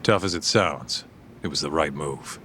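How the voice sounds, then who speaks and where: A man's voice: rumbling low, unhurried, sharp tone, a little hiss or hum, heavily acted. An actor playing a streetwise, loyal tough guy in a video game, frank, somber and plain-spoken. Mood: grim. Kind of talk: dramatic game voice acting, storytelling.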